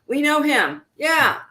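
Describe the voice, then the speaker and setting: A man hosting a local music show, chatting casually and relaxed with his guest. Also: very high voice. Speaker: podcast host. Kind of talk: casual chat.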